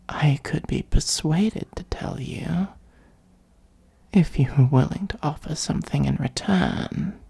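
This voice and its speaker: A performer of unclear sex voicing an ancient, haughty dragon in a comedic fantasy ASMR roleplay, with a breathy, husky, gravelly voice and a sardonic, condescending, musing manner. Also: medium pitch, mellow, heavily acted. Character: ancient gold-hoarding dragon, haughty, dryly exasperated.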